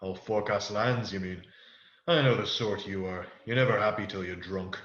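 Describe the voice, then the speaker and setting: A man's voice: on the low side, moderate pace, fairly bright tone, natural voice. A man playing a wily, swaggering pirate ship's cook in a radio play, talking in boastful, salty pirate dialect. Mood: scheming, impatient. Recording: echoey room, dull muffled sound.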